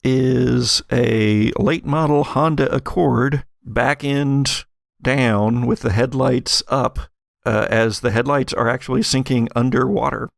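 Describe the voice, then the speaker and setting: A man acts as game master, improvising the scene in a conversational, explanatory way. His middle-pitched voice has a creaky edge and is recorded close and dry. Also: steady tone, slow, dry recording.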